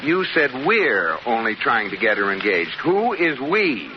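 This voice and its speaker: An actor playing a grumbling, wisecracking husband in an old radio sitcom, dry and exasperated, on a muffled, noisy recording. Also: male voice, mid-range voice, sing-song, a little slow. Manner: dry complaints and exasperated quips. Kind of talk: 1940s-50s radio sitcom acting.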